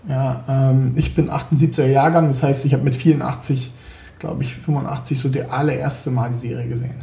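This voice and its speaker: Hesitant man, gravelly voice. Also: medium pitch, steady tone, a little fast, mellow, reverberant. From Berlin, Germany. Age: 40s. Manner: hesitant, many fillers and restarts. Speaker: podcast guest, 3D graphics artist. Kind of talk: casual interview chat.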